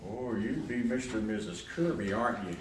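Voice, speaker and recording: A man's voice: on the low side, animated, brisk, close to an everyday voice. A man playing an easygoing, hospitable old grandfather in a live radio play, folksy and wry. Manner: folksy, unbothered. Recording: noisy echoey hall, distant mic.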